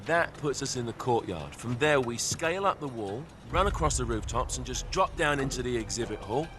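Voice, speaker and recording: An actor playing a cocky, smooth-talking British thief in his thirties, breezy and persuasive, in a video game. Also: medium pitch, lively intonation, brisk, close to an everyday voice. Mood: breezy, confident. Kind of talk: cinematic video game acting. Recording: music under the dialogue. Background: background music.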